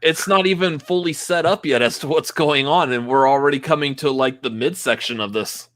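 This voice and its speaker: A man co-hosting a casual live stream, relaxed and quick to laugh. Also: on the high side, no echo, clean studio sound. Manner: laid-back, quick to laugh. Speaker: co-host.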